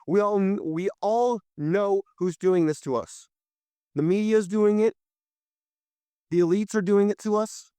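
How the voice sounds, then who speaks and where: Impassioned young man, hoarse, high voice. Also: measured pace, mellow, no echo. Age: young adult. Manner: impassioned, preachy, addresses listeners directly. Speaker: podcast host. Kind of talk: solo political commentary.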